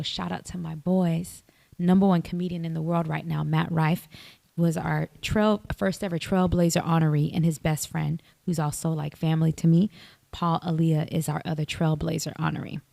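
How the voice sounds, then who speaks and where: Gravelly-voiced woman chatting on a podcast. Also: low voice, moderate pace, no echo. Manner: animated storyteller who laughs often. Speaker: podcast guest. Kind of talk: casual podcast conversation.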